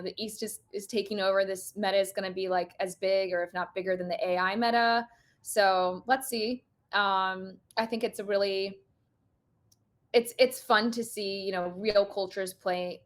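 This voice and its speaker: Woman guest, casual chat, rambly and giggly, mid pitch. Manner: chatty, rambling, laughs often. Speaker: podcast guest.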